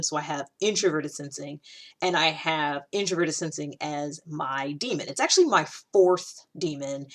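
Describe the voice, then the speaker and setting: A woman's voice: on the low side, a bit bright. A woman hosting her own video, talking casually to the camera in a rambling way, full of fillers and restarts. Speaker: video host.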